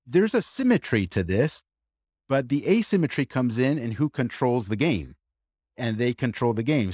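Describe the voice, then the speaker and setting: Male co-host, argumentative and lively, dry close-miked sound. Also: mid-range voice, no echo. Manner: animated, argumentative, quick asides with restarts. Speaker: podcast co-host. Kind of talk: casual opinionated discussion.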